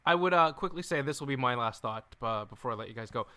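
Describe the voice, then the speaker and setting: Bright, crisp-voiced man joking around. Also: mid-range voice, animated, fast. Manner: jokey, boastful banter. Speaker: podcast co-host. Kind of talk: casual podcast chat. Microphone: remote call mic.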